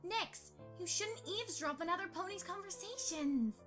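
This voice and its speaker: A woman's voice: very high voice, animated, normal speed, over music. A woman playing an earnest, bookish young pony mother in an amateur audio drama. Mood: earnest, mildly exasperated.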